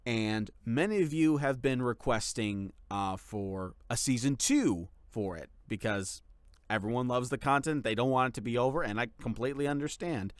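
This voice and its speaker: A man's voice: mid-range voice, moderate pace, clear, resonant tone, no room sound, clean studio sound. A man speaking casually as a channel host, giving a rambling, explanatory update to followers. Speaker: ASMR voice actor and channel host.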